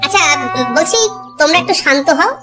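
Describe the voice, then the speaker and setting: A performer voicing a witty, outspoken male court jester with a persuasive manner in an animated cartoon. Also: very high-pitched, fairly quick, over loud music. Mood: concerned.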